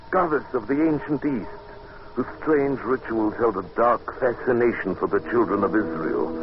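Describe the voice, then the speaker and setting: A low-voiced man, a curious and uneasy guest recounting a mystery in measured, dramatic radio style. The sound is dull and noisy, like an old broadcast. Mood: dawning dread. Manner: measured, dramatic, musing delivery. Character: male narrator Buchan, curious, uneasy house guest. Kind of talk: old time radio drama acting, first-person narration.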